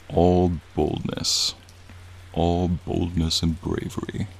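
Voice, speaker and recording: Man voicing a deep, gravelly, friendly dragon. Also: a little hiss or hum, exaggerated voice, poor audio. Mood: admiring, charmed. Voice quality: low, gravelly, soothing. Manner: courtly, leisurely, warmly attentive. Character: friendly, charming male frost dragon, gentle and admiring. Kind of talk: ASMR roleplay. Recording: steady rain sounds behind the voice. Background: rain falling.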